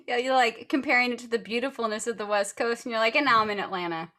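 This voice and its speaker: A woman hosting a music education podcast, upbeat and quick to laugh. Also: a little high. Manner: warm, upbeat, laughs easily. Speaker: podcast host. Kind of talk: podcast intro and interview.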